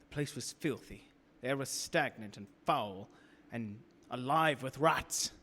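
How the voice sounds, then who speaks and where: Gothic radio play: earnest, clipped young husband, medium pitch, hall reverb. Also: male voice, unhurried, bright, forceful tone. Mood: grim. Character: weary young husband reporting grim findings. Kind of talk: radio drama journal narration. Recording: reverberant live theater stage.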